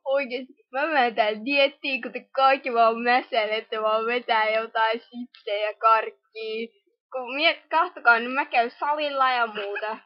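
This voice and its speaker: A woman's voice: a little high, fairly even intonation, dry recording. Woman, dry lo-fi recording. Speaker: amateur fandub voice actor. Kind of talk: improvised comic cartoon dubbing. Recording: dull lo-fi home recording.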